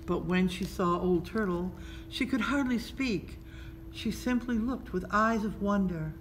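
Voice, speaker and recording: A woman's voice: medium pitch, a little slow. A woman narrating a children's storybook expressively as a story reader. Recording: clearly noisy background ambience.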